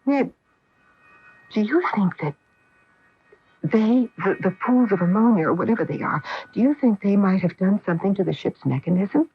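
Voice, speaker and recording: An actress playing an anxious, insistent astronaut wife in a 1980 radio drama, with earnest, fearful delivery. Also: female voice, mid-range voice, animated, normal speed, lightly acted. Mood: alarmed, urgent. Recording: muffled old AM radio broadcast.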